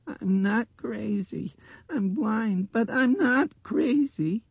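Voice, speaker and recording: A man's voice: high voice, expressive, slow pace, no room sound, dull audio, voice pushed up, exaggerated voice. A man voicing a blind little girl in an audiobook, dreamy, trembling and insistent. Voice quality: breathy, trembling.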